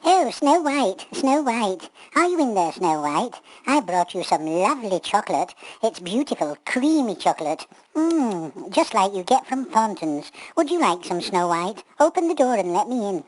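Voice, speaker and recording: A woman voicing a vain, bossy pantomime witch in a drawn-out, sing-song, coaxing and commanding way. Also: medium pitch, very animated, darkish tone, low-quality recording. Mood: coaxing, sly.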